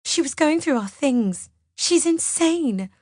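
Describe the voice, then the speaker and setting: Woman acting a panicky, tearful young woman, high-pitched. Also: animated, slow pace, a little mellow, no room sound, studio quality. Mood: sobbing, pleading. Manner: tremulous, gulping, sobbing when on show.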